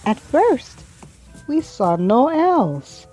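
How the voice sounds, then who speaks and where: A woman reading a children's story aloud expressively, with measured, dramatic phrasing and pauses. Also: high voice, sing-song, warm tone, faint music behind, rough recording. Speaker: children's book reader.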